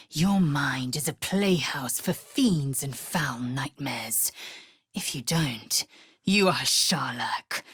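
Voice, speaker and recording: A fierce alien warrior woman from a fantasy game. Her voice is very husky and raspy, and she delivers words slowly and deliberately with proud disdain. The sound is dry and close. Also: low-pitched, fairly bright tone, no echo, full character voice. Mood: scornful, threatening. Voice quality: husky, raspy, breathy edge. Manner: clipped, imperious, disdainful, deliberate. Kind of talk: video game character voice acting.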